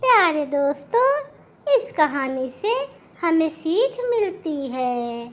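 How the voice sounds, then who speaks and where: A woman's voice: high voice, very animated, slow, a little hiss or hum. A woman narrating a scripted children's story as a storyteller.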